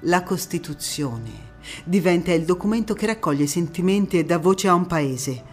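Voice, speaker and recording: An actress playing a proud, spirited young female parliamentarian recounting memories in an expressive, emphatic stage monologue. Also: fairly low pitch, soft background music, close to an everyday voice. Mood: grave, measured. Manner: expressive, deliberate, emphatic phrasing. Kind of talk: theatrical first-person monologue.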